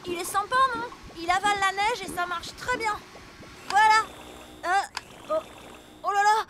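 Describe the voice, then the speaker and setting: A woman's voice: very high voice, steady tone, moderate pace, background noise. A woman voicing an eager, exclamatory young female helper in a French children's cartoon.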